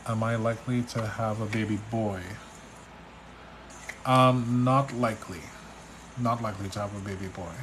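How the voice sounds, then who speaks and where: A man from Trinidad with a Trinidadian accent, a spiritual reader on a live stream, relaxed and chatty as he reads out viewers' questions. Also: lowish voice, moderate pace, pauses often, noisy background. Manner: relaxed, chatty, reads names and questions aloud, restarts often. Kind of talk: live stream reading, answering chat questions.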